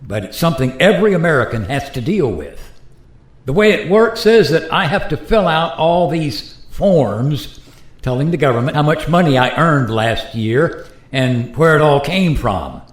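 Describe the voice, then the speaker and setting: Guy playing an easygoing modern pilot in a radio play, chatty explainer, animated pitch, echoey room. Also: normal speed, lightly acted. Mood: resigned, explaining. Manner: casual, conversational, explanatory. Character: George, easygoing modern airline pilot explaining today's world. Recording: roomy, echoing sound.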